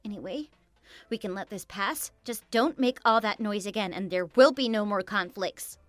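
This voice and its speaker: A woman playing a wary wife who speaks in a measured, reproachful way. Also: mid-range voice, no room sound, faint music behind, lightly acted. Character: wary, resentful wife of the husband.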